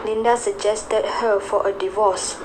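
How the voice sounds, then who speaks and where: A woman's voice: medium pitch, fairly even intonation, measured pace. A woman reading scripted radio drama narration in a dramatic storytelling manner. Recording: clear background noise.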